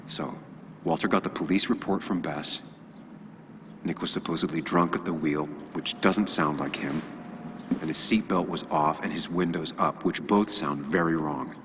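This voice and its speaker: A man's voice: very deep voice, moderate pace, noisy background. An actor playing a brooding, guarded journalist in a video game, analytical and understated in his delivery. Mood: musing, suspicious. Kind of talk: video game voice acting with muttered inner monologue. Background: music.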